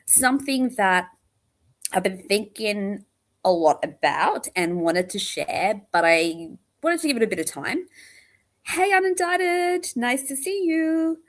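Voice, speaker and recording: A woman hosting a casual livestream, chatty and rambling, her voice croaky and tired, greeting viewers one by one. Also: fairly high pitch, lively intonation, takes it slowly. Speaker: livestream host.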